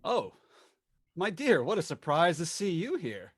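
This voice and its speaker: Tipsy henpecked husband, florid and wheedling, swooping pitch, 1950s radio drama, dry sound. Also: male voice, very animated, a little slow, slightly rough, no room sound, heavily acted. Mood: flattering, grandiose. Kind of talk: 1950s old-time radio acting.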